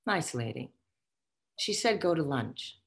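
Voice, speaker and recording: Low, haughty ghost socialite, swooping delivery. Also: female voice, big pitch swings, measured pace, full character voice. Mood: admonishing. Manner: theatrical, imperious, condescending. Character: haughty, foul-mouthed ghost of a dead socialite boss. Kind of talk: virtual table read, comic stage acting. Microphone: video call.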